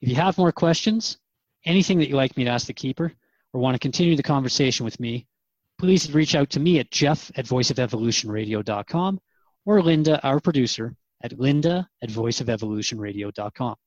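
A man's voice: medium pitch, lively intonation, a little fast, darkish tone, dry recording, muffled recording, close to an everyday voice. A man playing a modest, earnest radio interviewer in a scripted radio drama. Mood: appreciative. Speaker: radio show host and interviewer.

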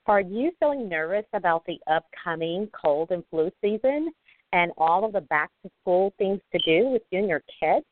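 Chatty lady host, lowish voice, tinny phone sound. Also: animated, moderate pace, slight background noise. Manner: upbeat, chatty, many fillers. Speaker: talk show host. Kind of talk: casual online radio interview. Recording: dry tinny phone line.

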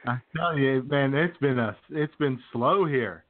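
A man's voice: medium pitch, measured pace. Laid back guy joking around as podcast cohost, kinda drawly, thin phone sound. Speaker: comedy podcast co-host. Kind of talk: casual joking banter. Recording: thin phone-like band-limited audio.

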